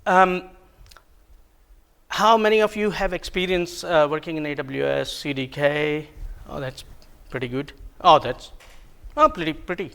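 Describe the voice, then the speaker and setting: A man whose first language is Hindi, giving a technical talk at a conference, halting with many ums and self-corrections and a bit of self-deprecating humor. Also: a little high, creaky, fairly bright tone, clear, resonant tone. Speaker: conference presenter. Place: conference room.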